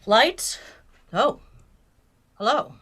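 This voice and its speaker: A woman playing a guarded, commanding starship captain in a science fiction audio drama, with a terse, deliberate manner. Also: fairly high pitch, animated, a bit bright, slight background noise, heavily acted. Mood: wry, evasive.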